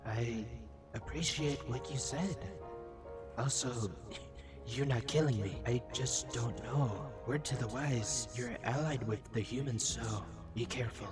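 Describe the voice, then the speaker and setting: A man's voice: medium pitch, a little slow, natural voice. A man voicing a nervous, flippant little robot scout in a comic dub, hesitant and informal in his talk. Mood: hesitant, earnest. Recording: music behind the voice.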